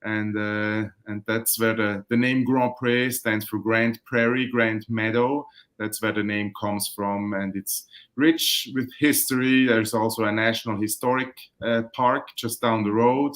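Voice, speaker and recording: A man from Switzerland, a winemaker, chatting relaxed and rambling on a video call, often correcting himself mid-sentence. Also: on the low side, a little slow. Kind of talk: casual video call interview. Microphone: webcam and computer mic on a video call.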